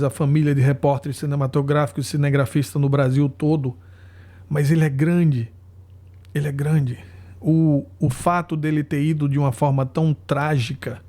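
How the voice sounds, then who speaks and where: A Brazilian man hosting a podcast interview, talking in an earnest, halting, apologetic way. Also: fairly high pitch, dark tone. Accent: Brazilian Portuguese. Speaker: podcast host. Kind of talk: emotional interview.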